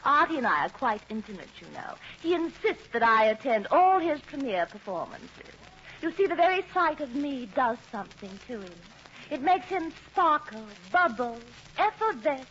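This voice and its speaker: Snooty, affected glamour-girl neighbour, high and very animated, old radio comedy, muffled noisy sound. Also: moderate pace. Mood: smug, gushing. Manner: haughty, gushing, grandly affected. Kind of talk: 1940s-50s radio comedy acting.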